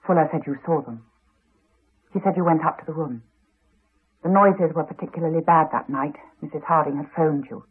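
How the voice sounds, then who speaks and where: A woman's voice: low-pitched, a little fast, long pauses, breathy edge. An actress with a refined English accent playing an earnest, insistent woman in a 1980s radio drama, on a muffled old recording. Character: earnest, insistent woman drawn to a haunted house.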